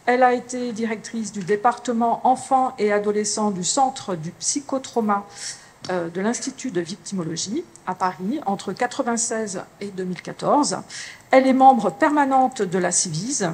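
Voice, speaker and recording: A woman's voice: medium pitch, normal speed, darkish tone. A woman moderator giving a formal introduction of a speaker, reading steadily with occasional hesitations.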